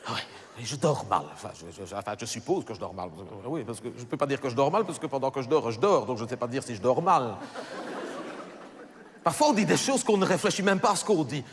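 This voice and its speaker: A man's voice: medium pitch, lively intonation, brisk, in a large hall, close to an everyday voice. A man voicing a chatty middle-aged housewife with a Belgian French accent, rambling and stammering through self-corrections in a stand-up monologue. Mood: gossipy. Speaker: comedian.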